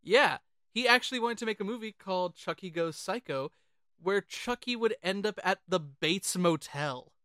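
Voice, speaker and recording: A man with a bright, fairly high voice talks casually as a podcast co-host. He is chatty and halting, circling back over his phrases as he shares film trivia. The sound is crisp and close, with very little room. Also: dry recording.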